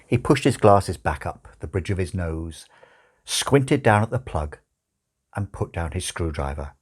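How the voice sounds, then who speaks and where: A man narrating a story as an audiobook reader, with a wry, measured and expressive delivery. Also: sits low, slightly rough.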